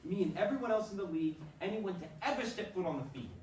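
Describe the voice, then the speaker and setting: A man's voice: high voice, expressive, darkish tone. Man playing a brooding ballplayer, roomy sound. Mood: troubled, insistent. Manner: brooding, halting. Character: troubled, earnest male baseball player and husband. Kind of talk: staged play reading. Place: performance room, recorded from a distance. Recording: roomy, distant mic, faint noise.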